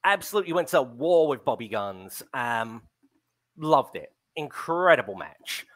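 A man's voice: medium pitch, sing-song, measured pace, fairly bright tone. A man in his thirties hosting a wrestling show on a video call, chatting casually and reading out viewer comments with a headset mic. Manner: reads viewer comments, many ums. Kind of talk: casual live-stream commentary chat. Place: home room on a video call.

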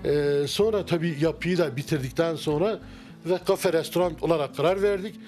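Hesitant middle-aged man, slightly high voice. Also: fairly quick, a little mellow, faint music behind. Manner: hesitant, many fillers and restarts. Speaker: restaurant owner. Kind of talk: casual interview. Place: wood-paneled restaurant interior. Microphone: handheld mic held by interviewer.

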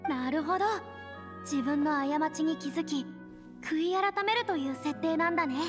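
A calm young woman from an anime game, played by an actress, talks in a high voice. She sounds perceptive, and music plays clearly behind her. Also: normal speed, natural voice. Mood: thoughtful. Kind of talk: Japanese anime game voice acting.

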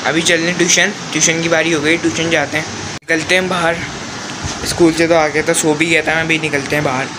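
Teenage boy vlogging over loud background noise. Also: a little high, flat delivery, brisk. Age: teens. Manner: plain step-by-step running commentary. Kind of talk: daily vlog narration to camera. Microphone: phone camera at arm's length.

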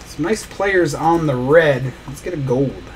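A man's voice: fairly high pitch, measured pace, faint music behind, low-quality recording. A man hosting a live trading card break, opening packs and rattling off player names as he goes. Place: small indoor studio desk.

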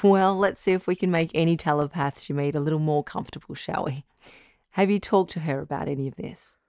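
A woman playing a calm, reassuring doctor who hosts a radio relationship advice show, speaking in a measured, counselling way. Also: low voice, dry recording, clean studio sound. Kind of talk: audio drama radio call-in acting.

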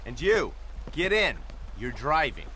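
An actor playing a cocky, abrasive federal agent in a video game, with a brash, sneering, bossy delivery. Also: male voice, a little high, big pitch swings, a little slow, noisy background. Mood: mocking. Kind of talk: video game dialogue acting.

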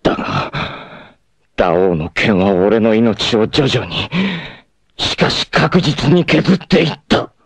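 An actor playing a proud, doomed martial-arts warrior in an anime, tender and self-sacrificing, with solemn, theatrical delivery. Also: male voice, lowish voice, sing-song, takes it slowly, breathy edge, no echo, full character voice. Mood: grave, intense. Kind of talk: dramatic anime acting, monologue and narration.

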